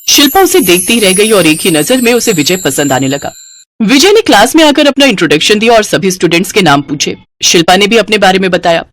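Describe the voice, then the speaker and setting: A woman reading scripted moral-story narration as the storyteller. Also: medium pitch.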